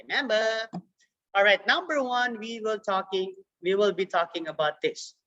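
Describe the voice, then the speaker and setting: A woman teaching a young children's science class over a video call, repeating words slowly for the kids. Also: medium pitch, a bit bright. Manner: slow, repetitive, drawn-out words for children. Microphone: computer mic on a video call. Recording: dull lo-fi video call audio.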